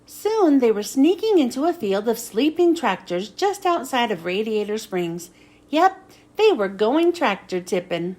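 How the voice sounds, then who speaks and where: A woman reading a children's storybook aloud in an expressive way, with dramatic storytelling emphasis. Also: a little high, unhurried. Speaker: children's book reader.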